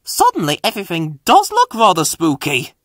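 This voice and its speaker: A man's voice: sits high, wildly swooping pitch, moderate pace, fairly bright tone, dry recording, studio quality, pitched above the performer's own voice, heavily acted. A man voicing a cheerful but nervous little steam engine in a storybook, eager and easily frightened.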